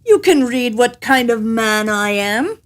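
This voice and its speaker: A woman's voice: fairly high pitch, expressive, measured pace. A woman voicing a cheerful, boastful little male tailor in a storybook, with a jaunty, emphatic delivery. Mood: cocky.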